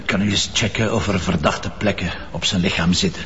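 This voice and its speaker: An actor playing a stubborn, evasive middle-aged police commissioner in a crime drama, with a Flemish accent and a dismissive, wry manner. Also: male voice, sits low, steady tone, fairly quick, a bit bright, a little hiss or hum. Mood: evasive, polite. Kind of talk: naturalistic TV crime drama dialogue. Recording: dull, lo-fi TV soundtrack.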